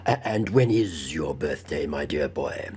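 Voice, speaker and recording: A man voicing an eager, absent-minded elderly gentleman who stammers, repeats himself and chuckles in a storybook reading. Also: very deep voice, expressive, measured pace, slightly rough, voice pushed down, heavily acted. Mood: eager, fussy. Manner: stammering, repetitive, hemming and chuckling.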